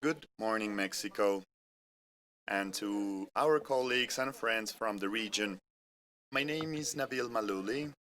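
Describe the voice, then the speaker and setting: A man from France with a French accent, speaking in English as he gives a measured, earnest business presentation that moves point by point through an agenda. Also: fairly low pitch, pushed and bright, clear, resonant tone. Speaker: corporate keynote speaker. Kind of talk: live-streamed business presentation. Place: company innovation center studio.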